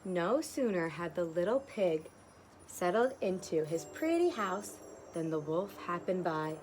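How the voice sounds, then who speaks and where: A woman's voice: lowish voice, very animated, a little slow, background noise. A woman kindergarten teacher reading a picture book to young children and explaining it simply, outdoors on a porch.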